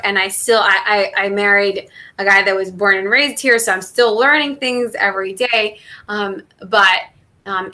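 A woman's voice: mid-range voice, pushed and bright. A woman who grew up in southern Mexico with Spanish as her first language, answering interview questions in a thoughtful way, with many fillers and restarts. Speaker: guest, NASA engineer.

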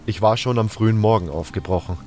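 A man's voice: fairly low pitch, fast, darkish tone, faint music behind. A man reading scripted first-person fantasy narration as an audiobook narrator.

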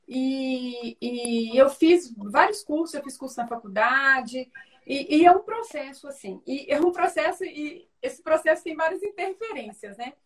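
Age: adult. Sex: woman. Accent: Brazilian Portuguese. Trait: high voice, distant mic. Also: animated, measured pace. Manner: chatty, many tag questions and restarts. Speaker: interview guest. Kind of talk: casual conversation.

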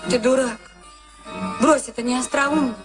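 A woman's voice: high voice, fairly even intonation, normal speed, lightly acted. An actress playing a boxer's flippant, teasing girlfriend in a Soviet radio play, with a breezy, mocking manner. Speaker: actor. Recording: archival radio drama, music behind.

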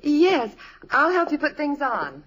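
An actress playing a polite, gentle middle-aged wife and mother with a husky voice in an old radio drama. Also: high-pitched, very animated, a little slow, muffled recording, a little hiss or hum, heavily acted. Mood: motherly. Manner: courteous, motherly. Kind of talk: 1950s radio drama acting. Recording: old radio broadcast recording.

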